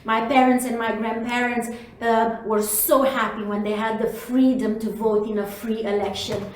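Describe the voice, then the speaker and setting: A woman from Romania speaks as a city official, earnest and emphatic as she urges people to take part. Her voice sits a little high and sounds quite far from the mic. The room around her is very echoey. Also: flat delivery, normal speed, a little hiss or hum. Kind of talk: interview answers.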